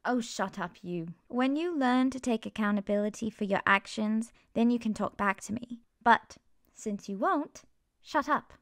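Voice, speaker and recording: A woman's voice: a little high, expressive, a little slow, no echo, clean studio sound. A woman voicing a serious young female magic student, blunt and scolding, in an ASMR fantasy roleplay. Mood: angry.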